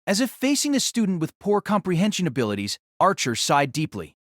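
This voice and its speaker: Male narrator with animated, swooping delivery. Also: high-pitched, a little fast, dry recording, clean studio sound. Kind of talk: dramatic scripted novel narration.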